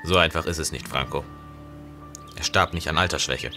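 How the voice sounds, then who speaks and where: A man's voice: very deep voice, moderate pace, over music. An actor playing an earnest, dutiful criminal henchman in an audio drama. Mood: grave.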